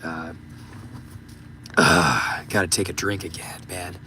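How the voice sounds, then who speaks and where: A man hosting a livestream, talking casually and haltingly with many fillers, his voice going hoarse and giving out. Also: sits low, steady tone, sharp tone, a little hiss or hum. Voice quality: gravelly, voice giving out. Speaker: livestream host. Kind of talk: casual livestream talk reading super chats.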